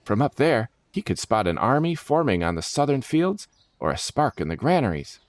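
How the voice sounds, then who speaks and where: A man's voice: medium pitch, sing-song, normal speed, no echo, voice pushed up. A man voicing an eager, proud male adventurer who explains things earnestly, as storybook character dialogue. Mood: reverent.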